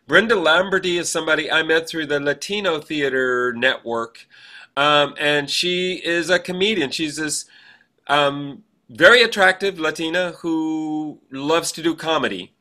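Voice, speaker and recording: A man's voice: a little high, sharp tone. A man co-hosting a podcast, chatting casually and reminiscing in a rambling, anecdotal way. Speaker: podcast co-host.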